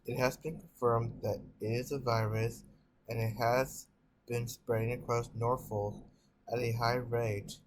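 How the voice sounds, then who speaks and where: A man's voice: mid-range voice, flat delivery, a little slow, a little hiss or hum, lightly acted, rough recording. A man playing a serious breaking news anchor, reading a scripted report.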